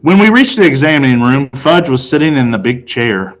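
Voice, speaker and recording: An American man reading a children's novel aloud as a teacher, with expressive storytelling. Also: medium pitch, a little fast, low-quality recording. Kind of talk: audiobook-style read-aloud of children's novel, first person.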